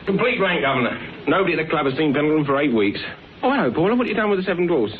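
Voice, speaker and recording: An actor playing a cheeky, sceptical young detective's assistant in a classic radio drama, brisk and informal. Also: male voice, a little high, big pitch swings, a crowd in the background. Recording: noisy, narrow old radio recording.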